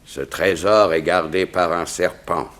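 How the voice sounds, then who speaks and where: An actor playing a dignified royal chamberlain in a 1960s French radio drama, speaking formally and precisely. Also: male voice, deep voice, steady tone, measured pace, fairly bright tone. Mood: precise, earnest.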